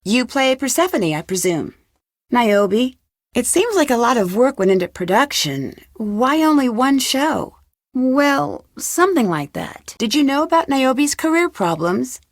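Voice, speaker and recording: Young female sleuth, slightly high pitch, close dry sound, inquisitive, polite, articulate, video game dialogue. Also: dry recording. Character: Nancy, curious polite young female amateur detective.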